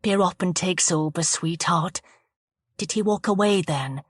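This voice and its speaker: A calm female detective asks her questions in a gentle, soothing voice, lower than most women's, as a narrator reads her lines. The recording is close and dry. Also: measured pace, slightly rough, no echo.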